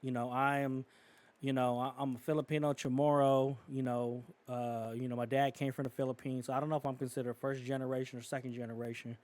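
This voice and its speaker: A man's voice: mid-range voice, flat delivery, brisk, darkish tone. A man from California co-hosting a podcast, talking in a casual, opinionated way with many fillers and restarts. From California, United States. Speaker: podcast co-host.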